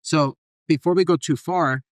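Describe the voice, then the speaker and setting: A man hosting a casual podcast, musing aloud and rephrasing what he hears in an animated way. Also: a little high, moderate pace, no room sound. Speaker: podcast host.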